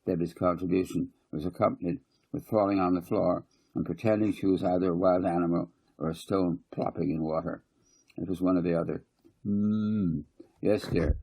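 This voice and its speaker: A man with a gravelly, husky voice narrating a children's story as its author, in a slightly halting way. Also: sits low, level tone, darkish tone, low-quality recording.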